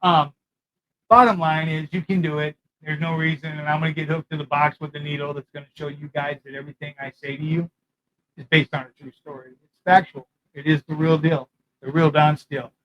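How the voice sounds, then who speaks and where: Loud ex-con guy ranting into a mic, swears a lot, voice a bit high for a man, rambles off track. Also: flat delivery, brisk, lo-fi sound, a little hiss or hum. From California. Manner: blunt, profane and boastful street talk; rambling, loses his thread. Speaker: former prisoner sharing prison know-how. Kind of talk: casual monologue to camera. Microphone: talking into a mic.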